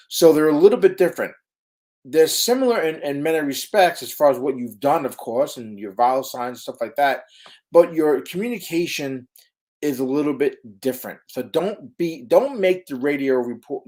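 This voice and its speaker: A man's voice: mid-range voice, a little mellow. A man teaching emergency medical crews in a casual live stream, chatty, with lots of fillers and "right?" tag questions. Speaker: EMS educator.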